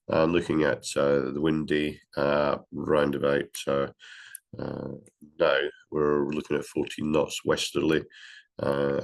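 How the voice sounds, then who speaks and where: Very deep-voiced congested Englishman. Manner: halting, many fillers. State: congested with a cold. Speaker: gliding club briefing presenter. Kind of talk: daily weather briefing.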